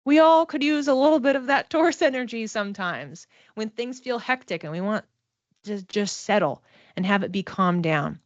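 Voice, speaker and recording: A woman's voice: a little high, lively intonation, moderate pace, no echo, highs cut off. A woman giving an informal spiritual card reading to one listener in a reassuring, conversational manner. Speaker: psychic oracle card reader and mentor.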